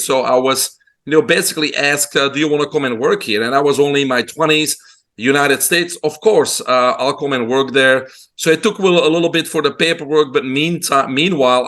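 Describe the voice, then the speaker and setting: Belgian-accented man telling his story. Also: medium pitch. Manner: relaxed storytelling. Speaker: guest. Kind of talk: casual interview.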